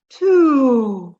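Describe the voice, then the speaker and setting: A woman's voice: extremely high pitch, a little mellow, muffled recording, voice pushed up, heavily acted. A woman playing a dim-witted, sweet, scatterbrained young woman in a comic old-time radio play, gushing and literal-minded. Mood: flustered. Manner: naive, scatterbrained, gushing, takes everything literally.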